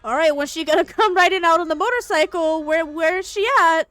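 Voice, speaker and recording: A woman's voice: extremely high pitch, brisk, fairly bright tone, dry recording. A young adult woman reacting casually to a video game as a live streamer. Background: game music.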